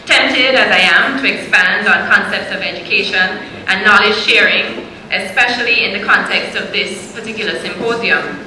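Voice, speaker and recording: A woman hosting an event, delivering a formal welcome address in a measured, rhetorical, ceremonial way. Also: mid-range voice, pushed and bright. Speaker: event host. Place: conference hall. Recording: echoey hall, faint noise.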